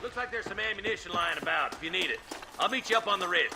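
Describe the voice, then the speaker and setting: Strained, high-pitched lawman amid gunfire. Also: lively intonation, fast. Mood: gruff, urgent. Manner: barking orders, forceful. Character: gruff, commanding Old West lawman in a gunfight. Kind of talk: video game combat dialogue. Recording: loud gunfire and animal noise. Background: gunshots.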